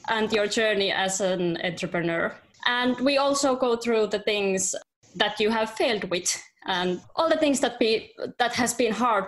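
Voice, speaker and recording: A woman's voice: medium pitch, low-quality recording. A woman, hosting an entrepreneurship podcast and introducing and interviewing guests. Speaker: podcast host.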